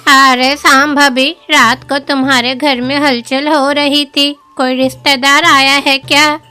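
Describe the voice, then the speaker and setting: A woman voicing a sly village neighbor who spreads gossip in an insinuating, drawn-out way, for a cartoon story. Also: high voice, flat delivery, pushed and bright, faint music behind, exaggerated voice.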